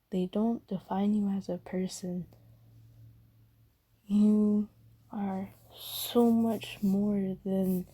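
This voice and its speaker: A woman voicing a gentle, caring demon in a soft ASMR roleplay, hushed, breathy and soothing, often slipping into whispers. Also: mid-range voice. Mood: gentle, reassuring. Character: gentle, caring female sleep paralysis demon comforting someone.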